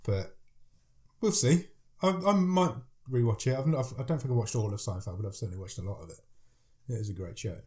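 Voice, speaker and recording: A man's voice: mid-range voice, a little fast, a little mellow. A man with a head cold, chatting eagerly about films as a podcast co-host. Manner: chatty, enthusiastic, reads out film blurbs. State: has a cold, deeper voice. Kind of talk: casual chat about films.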